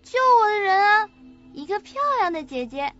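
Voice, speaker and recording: A woman's voice: very high voice, animated, normal speed, a bit bright, faint music behind. An actress in an audio drama playing a sweet, forgetful young woman with a naive, hesitant way of talking. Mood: dreamy, hesitant. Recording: muffled lo-fi audio.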